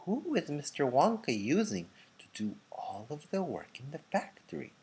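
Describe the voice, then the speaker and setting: Man doing a high-pitched, curious young boy. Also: big pitch swings, moderate pace, darkish tone, slightly rough, full character voice. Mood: eager, curious. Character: curious, insistent young grandson.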